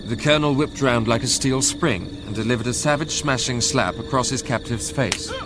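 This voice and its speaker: A man playing a brooding, proud young narrator in a radio drama, telling his story in measured literary phrases. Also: medium pitch, background noise, close to an everyday voice. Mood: tense, shaken. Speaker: first-person narrator.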